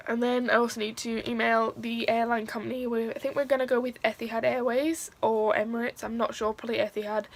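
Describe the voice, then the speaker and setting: A woman's voice: fairly high pitch, creaky, slight background noise. A young woman from England with a Mancunian accent, chatting casually to camera in a rambling vlog with lots of fillers, stuffy-nosed after crying. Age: young adult. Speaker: vlogger.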